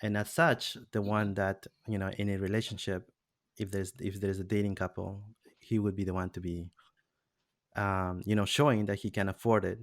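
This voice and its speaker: A man's voice: fairly low pitch, level tone, moderate pace, no echo, studio quality. A man from Peru hosting a casual podcast discussion, thoughtful and hesitant, with frequent restarts. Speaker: podcast host.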